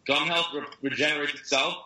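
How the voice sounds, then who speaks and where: Young man, nasal voice, very bright, rambling and profane, echoey room. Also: a little high, fairly even intonation, quick pace. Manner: rambling, profane, jokey storytelling. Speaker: podcast co-host. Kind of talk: casual chat.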